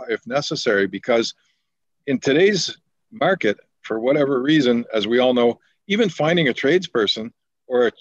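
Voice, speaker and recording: A man's voice: mid-range voice, no echo. A Canadian man, a deputy mayor, speaking at length in a council meeting on a video call, earnest and rambling with many repeated words. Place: home office on a video call. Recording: slightly dull, dry video call audio.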